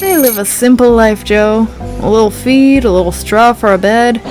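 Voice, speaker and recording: A woman's voice: on the high side, moderate pace, a little mellow, soft background music, close to an everyday voice, poor audio. A woman playing a jaded, tipsy reindeer in a comedic audio play, world-weary and dryly pedantic as she gripes. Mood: bitter, dry. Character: jaded, tipsy, grumbling female-voiced reindeer drinking at a bar.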